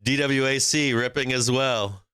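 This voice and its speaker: A man's voice: medium pitch, unhurried, sharp tone, clear, resonant tone, no room sound, studio quality. A man from Boston hosting a live stock trading stream, brash and joking, laughing often between calls. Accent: faint Boston. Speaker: stock market livestream host.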